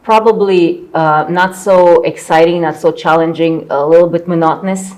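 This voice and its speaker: Middle-aged woman, low register, former executive reflecting in a relaxed panel conversation. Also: normal speed. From born in Croatia, later lived in Canada. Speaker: former company executive, interviewee.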